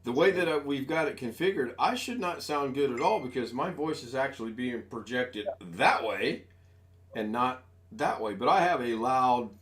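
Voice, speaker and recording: A man's voice: medium pitch, lively intonation, moderate pace, a bit bright. A man in his sixties hosting a casual live stream from home on a desk microphone, chatting in a rambling, folksy, self-deprecating way. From United States. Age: 60s. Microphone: desk USB microphone.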